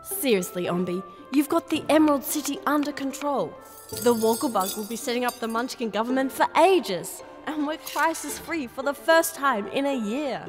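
A woman's voice: high-pitched, expressive, normal speed, long reverb tail, faint music behind. A woman playing a young, optimistic, headstrong fairy princess in an audio drama, breezy and determined.

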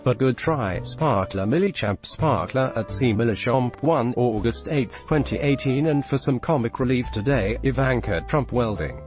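A man narrates a web news roundup, reading posts and their dates in a flat, even delivery. His voice sits at a middling pitch. Faint music plays beneath him. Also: moderate pace, hardly any pauses. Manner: flat read-out of handles and dates. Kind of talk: reading tweets aloud. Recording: music bed underneath.

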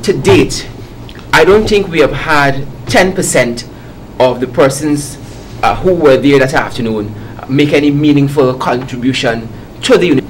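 Faint background noise, young man, mid pitch, formal panel guest, measured, diplomatic. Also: poor audio. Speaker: panel guest, student leader. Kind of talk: formal interview answer.

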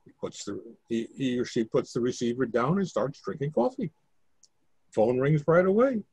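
A man's voice: medium pitch, lively intonation, moderate pace, a little mellow, husky, no echo. A man reading stage directions as scripted narration for a play reading on a video call.

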